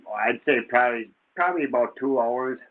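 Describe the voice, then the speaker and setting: A man from central Wisconsin calls into a live stream. His voice comes through a thin, tinny line, and he keeps his replies brief and quiet. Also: mid-range voice, fairly quick. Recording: tinny phone-like call audio.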